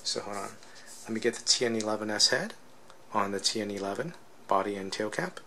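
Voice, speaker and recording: Male product reviewer, lowish register with heavy fry, informal hands-on technical explanation, slight noise behind the voice. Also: animated, a little fast, pushed and bright, rough recording. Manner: explanatory, often checks with "right?". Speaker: product reviewer demonstrating flashlights. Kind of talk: casual unscripted technical demonstration.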